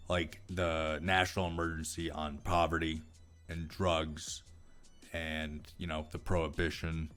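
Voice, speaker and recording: An American man reading aloud and ranting casually about it, with profane, exasperated and sarcastic commentary. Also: lowish voice. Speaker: political commentary vlogger.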